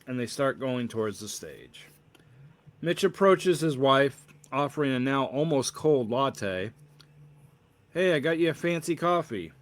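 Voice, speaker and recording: A man narrating a story as its author, with a halting delivery and occasional self-corrections. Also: mid-range voice. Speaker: author reading own novel aloud.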